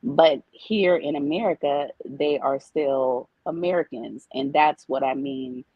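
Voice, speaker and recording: A woman with a slightly husky, breathy voice lays out her view in an earnest, casual way, returning to the same points as she goes. The sound is dull and low-fi, and very dry. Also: unhurried, no echo. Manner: explains at length, repeats points. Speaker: guest.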